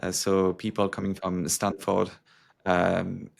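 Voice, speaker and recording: Low-pitched, creaky-voiced man giving interview answers. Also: completely flat delivery. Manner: halting, filler-heavy explanations. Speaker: security executive being interviewed.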